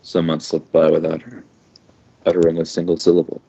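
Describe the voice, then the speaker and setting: A man reading a first-person story aloud informally, halting now and then with loose asides. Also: rumbling low, completely flat delivery, gravelly, warm tone, husky. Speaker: amateur fan fiction reader. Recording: dull lo-fi home recording.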